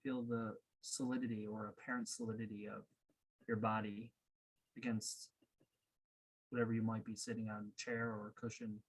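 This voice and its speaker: Low, slightly husky man guiding meditation. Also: low-quality recording. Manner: calm, unhurried instruction with pauses. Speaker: meditation guide and coach. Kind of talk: guided meditation.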